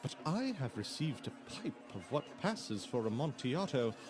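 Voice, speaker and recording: Guy acting as a sly polite nobleman, coaxing, swoopy high voice, gothic story with music behind. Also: very animated, normal speed, natural voice. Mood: feigned doubt. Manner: courteous, coaxing, theatrical. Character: smooth-tongued scheming Italian nobleman feigning friendly concern. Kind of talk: dramatized gothic audiobook dialogue.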